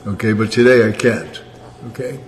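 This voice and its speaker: A man's voice: lowish voice, moderate pace, big-room sound. A man in his eighties from Canada, chatting casually and rambling, often ending sentences with "okay?". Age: 80s. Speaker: guest of honor on a family livestream. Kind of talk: casual chat.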